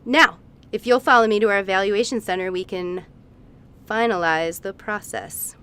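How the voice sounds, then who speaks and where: A woman's voice: medium pitch, normal speed, pushed and bright, clear, resonant tone, natural voice. An actress playing a breezy, callous female lab scientist with cheerily theatrical delivery in a comedic radio play. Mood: gleefully reassuring.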